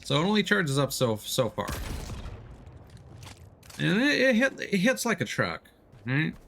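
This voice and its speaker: A man's voice: on the high side, wildly swooping pitch, measured pace, vocal fry, fairly bright tone, a little hiss or hum. A man streaming a video game, explaining it casually as he plays in an easygoing way. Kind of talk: casual gameplay commentary, explaining as they play. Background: video game sound.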